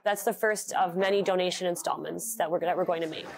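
A woman's voice: on the low side, fairly even intonation, quick pace, vocal fry, noisy background. A young woman, a shop owner, speaking in an interview in an earnest, heartfelt way, on a lapel mic in her shop. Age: young adult. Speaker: small business owner. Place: candle shop, indoors.

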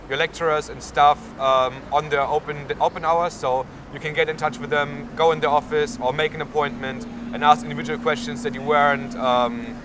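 A young man with a German accent, a university student answering interview questions outdoors in a town square, with many fillers. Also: fairly high pitch. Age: young adult. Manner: lots of fillers, gestures while talking. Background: faint street and traffic noise.

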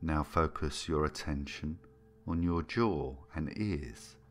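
A man narrating a guided meditation in a soothing, gentle and unhurried manner, leaving long pauses between phrases. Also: very deep voice. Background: background music.

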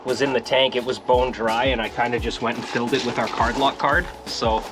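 A man's voice: medium pitch, fairly even intonation, a little fast, music in the background. Laid-back guy outdoors. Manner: laid-back, matter-of-fact, with "um" and "uh". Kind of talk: casual chat. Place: outdoor gravel yard.

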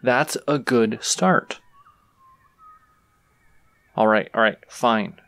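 A man's voice: mid-range voice, very slow, creaky, a bit bright, no room sound, full character voice. A man playing an easygoing, teasing traveling swordsman in an audio roleplay, casual and reassuring with a cocky streak, talking straight to the listener. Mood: teasing, coaxing.

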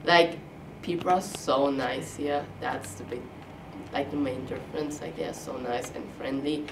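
A woman's voice: very deep voice, fairly even intonation, a little slow, distant mic, background noise. A young woman, a college tennis player chatting casually in an interview, full of fillers. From Germany. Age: young adult.

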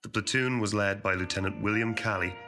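A male narrator reads scripted, factual documentary copy. His voice is low yet crisp and forward, with faint guitar music behind it. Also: fairly quick, sharp tone. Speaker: narrator of a historical note.

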